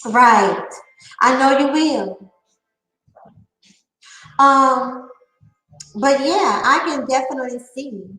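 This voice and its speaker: Woman nearing fifty, emphatic, slightly high voice, slow deliberate delivery. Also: a bit bright, echoey room, poor audio. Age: late 40s. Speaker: online panel talker. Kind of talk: candid opinion monologue.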